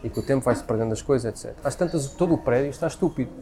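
A man's voice: medium pitch, very fast, over music. A man with a European Portuguese accent talking spontaneously and giving his opinions in an emphatic, repetitive way. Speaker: speaker sharing opinions in a conversation.

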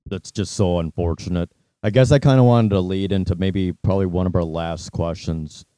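A man's voice: low-pitched, fairly even intonation, moderate pace, mellow, no room sound. A man co-hosting a casual interview, speaking in a supportive, wordy way. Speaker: interviewer, co-host.

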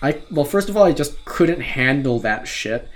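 A man's voice: mid-range voice, expressive, normal speed, very noisy. A young man in his late teens from Australia, speaking with an American accent, chatting loosely and swearing freely as a podcast co-host. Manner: casual, loose and profane, rambling with restarts. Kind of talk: casual chat.

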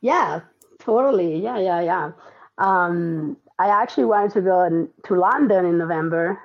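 A woman's voice: on the low side, unhurried, vocal fry. A woman who grew up in Italy, a podcast guest chatting casually and hesitantly with lots of ums and restarts. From Argentina and Italy. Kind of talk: casual interview chat. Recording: dull lo-fi audio.

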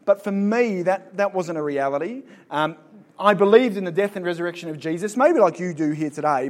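A man in his thirties from Sydney with an Australian accent, a joking, self-deprecating guest telling his life story to a live audience. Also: a little high. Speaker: guest speaker. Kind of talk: live interview and personal testimony to an audience.

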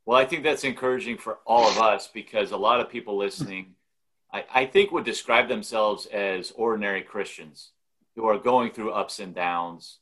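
A male podcast host talks in a measured, encouraging way as he leads an interview. His voice sits a little low for a man. He speaks steadily and warmly to his guest. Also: low-quality recording.

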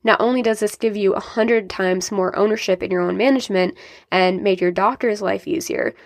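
A woman's voice: medium pitch, gravelly, no room sound, clean studio sound. A young adult woman hosting a solo podcast, sounding a bit nasal and congested from a sinus infection, explaining things upbeat and directly to her listeners. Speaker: podcast host and diabetes coach.

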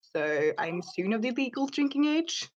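A woman's voice: a little high, very animated, normal speed, dull audio. A seventeen-year-old woman from Sweden whose first language is Swedish, co-hosting a casual podcast while sniffling with a cold. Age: teens. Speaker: podcast co-host. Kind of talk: casual chat.

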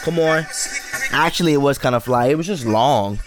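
A man's voice: mid-range voice, normal speed, music in the background. A man in his late twenties hosting a solo podcast, rambling through loose, profane casual commentary. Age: 20s. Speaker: podcast host.

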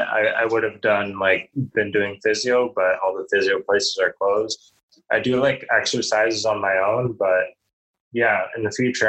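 Low, flat-voiced young man. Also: rough recording. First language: Polish. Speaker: podcast guest. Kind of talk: casual interview answers.

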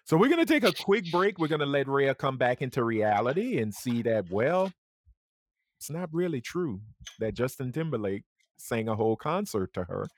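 A man hosting a casual chat podcast, playful and teasing as he steers the talk to the breaks. His pitch moves all over the place. The sound is close and dry, with no room around it. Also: normal speed, dry recording. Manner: animated, teasing, runs the breaks. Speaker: podcast host.

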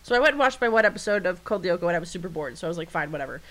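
A woman's voice: lowish voice, lively intonation, rapid-fire, a bit bright. A congested woman co-hosting a podcast, chatting and telling rambling, jokey stories. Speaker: podcast co-host. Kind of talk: casual chat.

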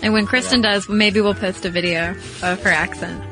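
A woman co-hosting a podcast, reading listener letters in a lively, playful way. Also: medium pitch, moderate pace, music in the background, rough recording. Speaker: podcast co-host.

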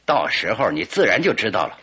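Confident detective, radio drama, husky, animated intonation, deliberate, teasing, commanding. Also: male voice, fairly low pitch, full character voice. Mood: firm, cautioning.

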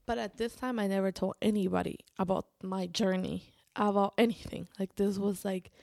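Creaky-voiced woman chatting casually. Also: mid-range voice, steady tone, a little mellow, dry recording, clean studio sound. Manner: informal, halting, restarts sentences and quotes herself often. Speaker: vlogger. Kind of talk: casual personal storytelling to camera.

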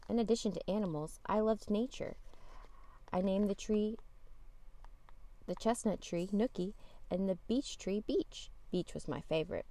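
A woman reading a children's picture book aloud as a storytime host, with expressive emphasis on key words. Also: medium pitch, unhurried, pauses often. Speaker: storytime podcast host and reader.

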